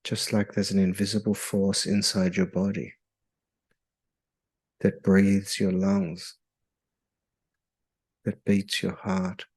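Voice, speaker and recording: A man leading a guided meditation in a soothing, hypnotic, measured way with long pauses. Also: sits low, monotone, a little mellow, breathy edge.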